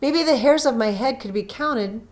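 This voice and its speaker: A woman's voice: high voice, normal speed, pitched above the performer's own voice, close to an everyday voice. A woman voicing an eager, loving young wife in a storybook reading, emphatic and pleading.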